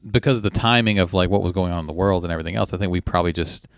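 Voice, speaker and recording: A man's voice: deep voice, rapid-fire, creaky, dry recording, clean studio sound. A man born in Iran who grew up speaking Farsi first, chatting casually and reflectively. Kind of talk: casual chat.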